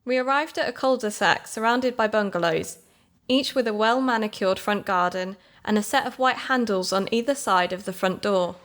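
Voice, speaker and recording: A woman's voice: medium pitch, moderate pace, a bit bright, lightly acted. A British woman playing an earnest podcast investigator, giving scripted, explanatory narration in an audio drama. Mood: pleased, earnest.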